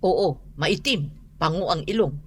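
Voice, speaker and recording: A woman's voice: lowish voice, lively intonation, a little slow, husky, no echo. A woman playing a blunt Indian moneylender chasing a debtor, speaking terse, broken Tagalog in a radio drama. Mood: curt.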